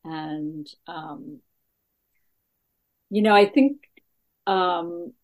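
A middle-aged woman stand-up comedian with a gravelly voice, talking in a halting, casual interview with many fillers. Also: very animated, darkish tone, rough recording. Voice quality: gravelly, deeper voice.

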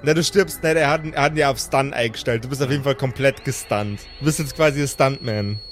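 A man's voice: mid-range voice, quick pace, faint music behind. A man acting as game master, narrating and chatting casually in an improvised tabletop roleplay.

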